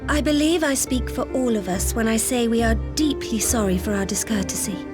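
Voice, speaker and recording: Woman playing a high-voiced, courteous young envoy. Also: brisk, over music, close to an everyday voice. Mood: apologetic. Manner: courteous, composed. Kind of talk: formal video game dialogue.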